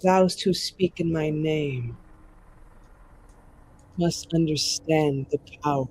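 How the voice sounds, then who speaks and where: A woman voicing a stern god speaking in a dream, with slow, portentous pronouncements. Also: deep voice, darkish tone, a little hiss or hum, pitched below the performer's own voice, poor audio. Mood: solemn, ominous.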